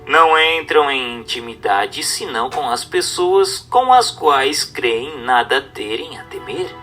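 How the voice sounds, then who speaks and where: A man narrates a formal religious text over background music. His voice is bright, with widely moving intonation. The delivery is solemn and instructive, clearly read from a script. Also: mid-range voice, animated, slow pace.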